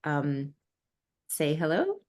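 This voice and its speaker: A woman's voice: deep voice, wildly swooping pitch, extremely slow, a little mellow. A woman hosting a conversation, asking questions with frequent "um"s. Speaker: host and advisor. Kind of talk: conversational interview.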